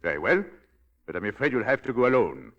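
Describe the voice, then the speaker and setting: Suave, menacing, low-voiced husband. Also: male voice, big pitch swings, quick pace, lo-fi sound. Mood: smoothly courteous. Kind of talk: old-time radio drama acting. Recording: vintage radio recording, orchestral music.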